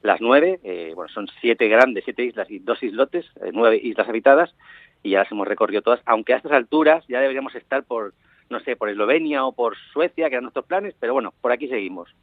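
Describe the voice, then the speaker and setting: A man in a relaxed interview over a tinny phone line, his voice dry and close. He speaks at an even middle pitch, pausing and restarting his phrases as he thinks. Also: no echo. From Canary Islands, raised in Barcelona. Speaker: interview guest, traveling parent and author.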